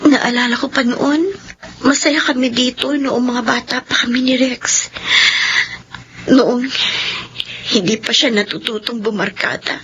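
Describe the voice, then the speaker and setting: A sentimental young woman in a radio drama. Her wistful voice is soft and fairly high. The audio is dull, with faint noise. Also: fairly even intonation, measured pace, long pauses. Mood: wistful, sad. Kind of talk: Filipino radio drama dialogue.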